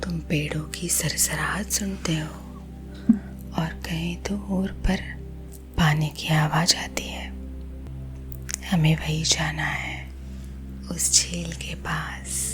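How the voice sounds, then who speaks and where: A woman with an Indian accent guiding sleep hypnosis in a husky, breathy ASMR whisper, gentle and soothing. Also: deep voice, steady tone. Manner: slow, soothing, gentle, hushed. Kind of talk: whispered ASMR guided visualisation. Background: soothing music.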